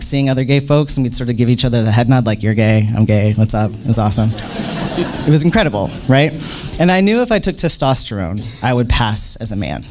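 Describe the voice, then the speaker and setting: A man's voice: medium pitch, a little mellow. An American man in his thirties giving an informal, personal public talk in a conversational, candid way, often checking in with "right?". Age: 30s.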